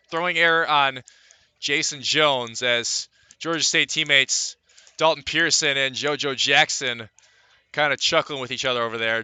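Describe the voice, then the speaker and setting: A man calling live baseball play-by-play for radio, mixing pitch-by-pitch description with conversational asides. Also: bright, forceful tone, clean tone, no room sound. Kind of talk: live sports commentary.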